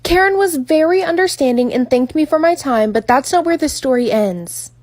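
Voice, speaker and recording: A woman narrates a scripted story in an orderly, explanatory manner. Her voice is high, and the recording is very dry with no room sound. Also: expressive, moderate pace, darkish tone, no room sound. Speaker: makeup artist telling client stories.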